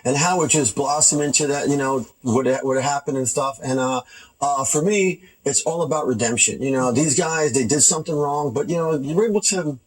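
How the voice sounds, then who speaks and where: Husky New man, halting and informal. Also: on the high side, rough recording. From Hoboken, New Jersey. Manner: halting, informal, repeats phrases. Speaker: film producer, interview guest. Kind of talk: casual interview chat. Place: TV studio.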